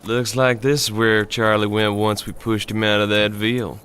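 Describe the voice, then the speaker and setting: A man's voice: lowish voice, level tone, normal speed, a bit bright, slight background noise, natural voice. A man with an American accent playing a tough soldier in a Vietnam War video game, talking in military slang. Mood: ready, dry. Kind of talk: video game cutscene dialogue.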